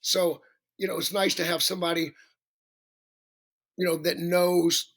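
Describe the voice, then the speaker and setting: A male singer with a raspy voice, chatting as a podcast guest, rambling and self-deprecating with many restarts. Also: high voice, little pitch movement, unhurried, fairly bright tone. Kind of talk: casual interview chat.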